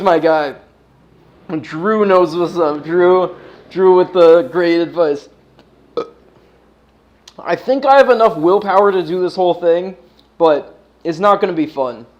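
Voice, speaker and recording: An American man with a slightly high-pitched voice hosts a casual livestream. He talks in a loose, laid-back stoner way, full of slang. Swearing comes freely as he chats. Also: unhurried, long pauses, darkish tone, dull audio. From United States. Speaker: livestream host.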